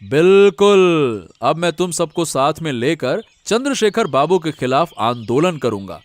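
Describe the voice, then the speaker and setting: A man's voice: fairly high pitch, very animated, a little slow. A man voicing an earnest, emphatic village samosa seller, a wronged and devoted son, in a Hindi moral-story cartoon. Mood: indignant, determined.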